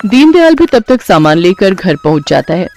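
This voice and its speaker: High-pitched male story narrator over music. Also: big pitch swings, normal speed, darkish tone. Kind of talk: scripted moral story narration. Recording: music behind the voice. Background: background music.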